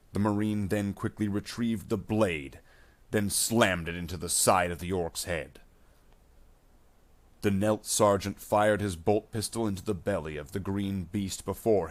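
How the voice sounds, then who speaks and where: A man reading a scripted action story aloud in a measured, dramatic way as its narrator. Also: sits low.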